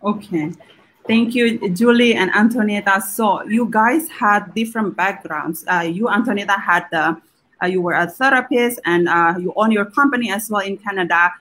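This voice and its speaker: A woman's voice: mid-range voice, moderate pace, fairly bright tone. Hesitant woman hosting a live chat. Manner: hesitant, many ums and uhs. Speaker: host of a live talk. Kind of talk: casual live video chat. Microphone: video call.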